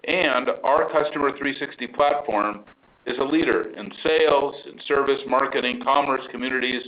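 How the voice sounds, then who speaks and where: Man, executive, earnings call, enthusiastic, filler words, mid-pitched voice, dull call audio. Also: normal speed. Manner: upbeat, enthusiastic, with frequent fillers. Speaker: company chief executive. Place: remote call line from abroad.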